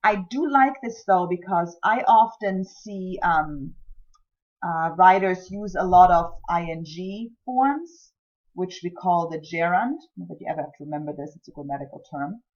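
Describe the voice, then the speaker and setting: A woman's voice: on the low side, expressive, moderate pace, darkish tone, highs cut off. A woman whose first language is German, teaching scientific writing in a chatty recorded lecture full of ums and self-deprecating asides. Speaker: writing instructor.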